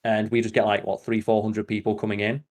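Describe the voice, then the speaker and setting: A man's voice: fairly low pitch, monotone, fast. A man hosting a podcast in casual chat, with a sweary, opinionated way of talking. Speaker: podcast host.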